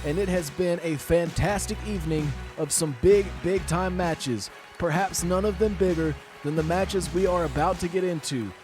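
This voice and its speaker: A man doing dramatic, emphatic play-by-play commentary on a wrestling show. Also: sits high. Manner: dramatic, emphatic announcer delivery. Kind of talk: live sports commentary.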